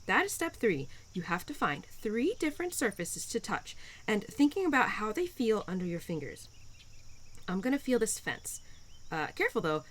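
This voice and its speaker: Woman voicing a playful, lively spirit of spring. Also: medium pitch. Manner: playful, encouraging, with flustered hesitations. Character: cheerful, playful, pun-loving spirit of spring, Mother Nature's daughter. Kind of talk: intimate audio roleplay to a listener.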